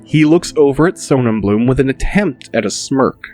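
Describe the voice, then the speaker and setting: A man narrating a dramatic audiobook in a deliberate, measured manner. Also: mid-range voice, expressive, a little mellow, faint music behind.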